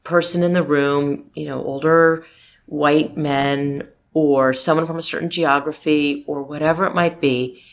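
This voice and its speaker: A woman's voice: low voice, fairly even intonation, measured pace. A woman in her forties, a marketing executive, talking in an interview in an emphatic, outspoken and optimistic way. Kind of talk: interview conversation.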